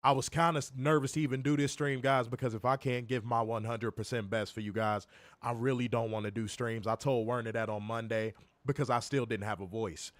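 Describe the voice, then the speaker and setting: Young male stream host, hoarse, profane and joking, mid pitch, dry close mic. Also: fairly even intonation, a little fast, dry recording. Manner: profane, joking, talks straight to viewers. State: hoarse, recovering from illness. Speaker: co-host of a wrestling review stream. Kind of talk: casual live-stream chat. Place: home, in Chicago.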